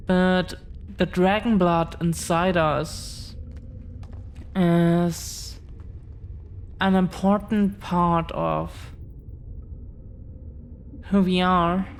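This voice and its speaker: A woman playing an affectionate, protective dragon-blooded girlfriend in an intimate audio roleplay, speaking earnestly with halting, deliberate pauses. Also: lowish voice, a little hiss or hum. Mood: earnest, solemn.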